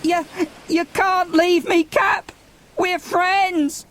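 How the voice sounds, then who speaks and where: A man's voice: very high-pitched, little pitch movement, extremely slow, a bit bright, voice pushed up, heavily acted. A man voicing an anguished, pleading young boy in a dramatised children's audiobook. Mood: desperate pleading. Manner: breathless, pleading, childlike exclamations. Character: anguished, pleading young boy, Milo, abandoned by his dad.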